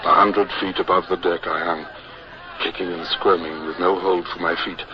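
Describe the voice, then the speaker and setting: Low-voiced haunted British naval officer played by an actor. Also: male voice, flat delivery, moderate pace, natural voice. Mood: anguished resolve. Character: young naval officer recounting his fear, earnest, haunted. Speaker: narrator and lead actor. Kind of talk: dramatic first-person narration, old radio drama. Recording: muffled, noisy old radio recording.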